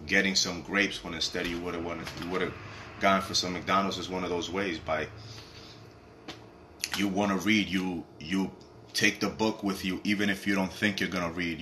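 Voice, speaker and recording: A young man with a raspy voice, rambling in a casual motivational talk to camera, often asking "you feel me". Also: sits low, background noise. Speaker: vlogger.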